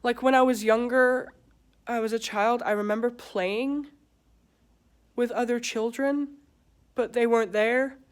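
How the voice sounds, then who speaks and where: A woman's voice: fairly high pitch, steady tone. Hesitant woman with an American accent. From England. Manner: hesitant, halting, many pauses and fillers. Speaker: vlogger. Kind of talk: personal confessional talk.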